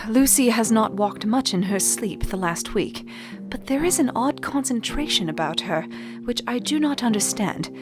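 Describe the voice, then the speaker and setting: Actress as an anxious young Victorian lady, slow. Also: on the high side. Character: anxious, thoughtful young Victorian woman writing her journal. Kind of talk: dramatic diary narration in a gothic radio play. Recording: music playing behind the voice. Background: music.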